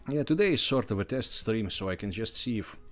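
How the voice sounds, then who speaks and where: A man's voice: on the low side, brisk. A young man from Russia with a Russian accent, chatting casually to viewers while playing a game on a livestream. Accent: Russian, mixed with other influences. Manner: relaxed, thinks aloud while playing and thanks viewers. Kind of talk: casual gameplay livestream chat. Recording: faint music behind.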